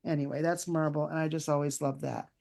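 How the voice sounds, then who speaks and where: A woman speaking as a guest author in a conversational interview, telling stories in a halting, reflective way with pauses. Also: deep voice, steady tone, gravelly, mellow.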